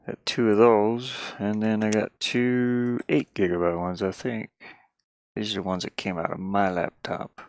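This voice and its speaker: Low, gravelly man rambling casually. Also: level tone, measured pace. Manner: rambling, thinking aloud. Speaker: streamer. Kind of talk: casual live stream chat. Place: inside a van he lives in.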